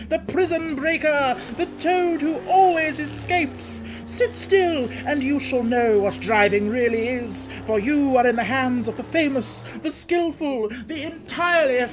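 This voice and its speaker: A reader voicing a boastful, reckless male toad in a storybook, pitched well above their own voice and full of gleeful bragging. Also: lively intonation, normal speed, music in the background, lightly acted, poor audio. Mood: triumphant. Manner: gleeful boasting, shouting triumphantly. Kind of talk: storybook reading.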